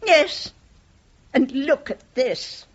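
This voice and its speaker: A woman's voice: high-pitched, animated, very slow, heavily acted. An actress playing a genteel, wistful elderly British mother in a radio play. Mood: genteel, distracted. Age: older. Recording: dull, muffled radio drama recording.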